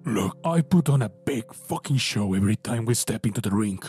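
A man playing a massive, gruff male dog fighter who speaks in a halting, apologetic way. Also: on the low side, expressive, a little mellow, faint music behind, close to an everyday voice.

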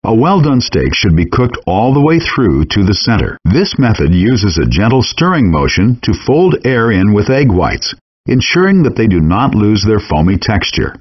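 Patient male game chef instructor, lowish husky voice, calm and measured, muffled game audio. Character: patient friendly adult male chef guide. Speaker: virtual cooking instructor. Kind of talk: scripted game voice lines.

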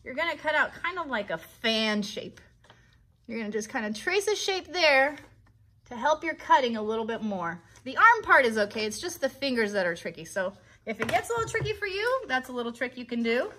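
A woman's voice: a little high, very animated, a little fast, pushed and bright, slight background noise. An American woman in her thirties hosting a live craft tutorial for children, chatty and encouraging as she talks directly to them. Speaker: children's craft host and puppeteer. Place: child's bedroom set indoors.

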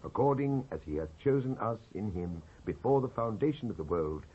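A man's voice: fairly low pitch, expressive, brisk, slightly rough. A British man giving a solemn, declamatory dramatised reading from the Bible. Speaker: Bible reader. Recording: muffled old cassette transfer.

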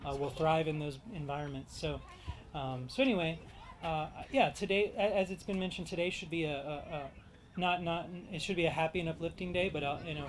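A man in his thirties giving a public speech at an outdoor park event into a stand mic, halting, with many fillers and restarts. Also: on the high side, steady tone, slight background noise. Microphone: handheld mic on stand. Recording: faint outdoor ambience.

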